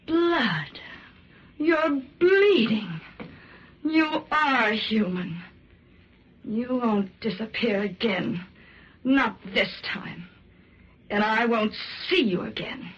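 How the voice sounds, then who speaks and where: A woman's voice: on the high side, expressive, very slow, long pauses, fairly bright tone, exaggerated voice. An actress playing a frightened, hysterical woman haunted by her torment, melodramatic and breathless, in old-time radio suspense style. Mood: shaken triumph. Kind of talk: old-time radio suspense acting, first-person narration. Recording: noisy, dull vintage radio recording.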